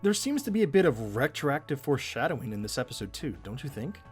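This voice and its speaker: A man co-hosting a conversational commentary on an animated episode, with an animated, bantering delivery. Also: medium pitch, quick pace, slightly rough, faint music behind. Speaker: co-host of fan commentary video.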